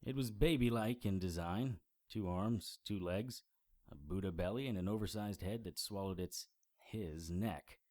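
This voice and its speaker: A man's voice: deep voice, unhurried, no room sound. An actor playing a dry, wry male occult investigator who narrates his case in an audio drama. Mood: grim, wry. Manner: deadpan, wry. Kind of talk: first-person detective audio drama narration.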